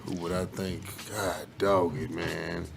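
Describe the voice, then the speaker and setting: A man's voice: deep voice, steady tone, takes it slowly, vocal fry, noisy background. A man, co-hosting a casual chat and stammering through teasing restarts. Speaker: podcast co-host.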